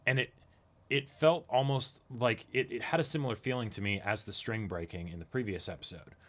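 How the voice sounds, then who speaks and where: A man's voice: medium pitch, fairly quick, a bit bright. A man co-hosting a casual, analytical discussion, often checking in with his listener as he goes. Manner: checks in often with "right?" and "you know". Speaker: co-host of an anime reaction show.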